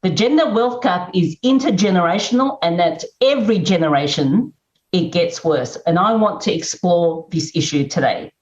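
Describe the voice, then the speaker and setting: Roomy, measured woman moderating a panel. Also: fairly low pitch, expressive, a little mellow, muffled recording. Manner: measured, deliberate delivery with slow emphatic phrases.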